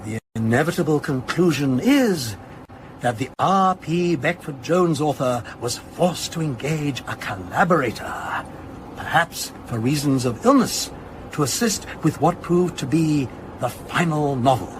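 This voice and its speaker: Precise, higher-voiced male academic. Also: expressive, measured pace, background noise. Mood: dryly explanatory. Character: male academic language analyst, precise, dryly pedantic. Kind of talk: radio drama acting.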